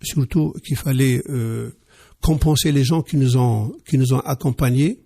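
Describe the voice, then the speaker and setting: A man's voice: mid-range voice, unhurried, creaky, warm tone. A man being interviewed on radio about his car dealership, hesitant and emphatic, often repeating words.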